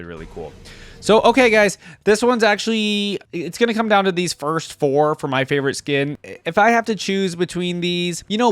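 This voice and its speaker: A man hosting a casual reaction video, talking informally and conversationally as he reads and thinks aloud. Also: mid-range voice. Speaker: video host.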